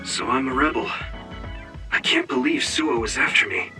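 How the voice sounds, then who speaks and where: An actor playing an earnest, modest young male rebel soldier in an anime game, speaking in a measured way. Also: medium pitch, lightly acted. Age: young adult. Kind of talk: anime game cutscene dialogue. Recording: studio voice over game music.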